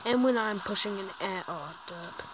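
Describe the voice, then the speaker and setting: A woman with a croaky voice from a sore throat gives chatty, self-deprecating gameplay commentary while reviewing a tank in a video game, often stumbling over her words. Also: mid-range voice, normal speed. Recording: loud background noise with clicks.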